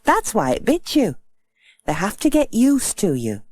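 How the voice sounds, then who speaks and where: Lady doing a knowing practical girl in a kids story, high and unhurried, dry close sound. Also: wildly swooping pitch, darkish tone, no echo. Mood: matter-of-fact.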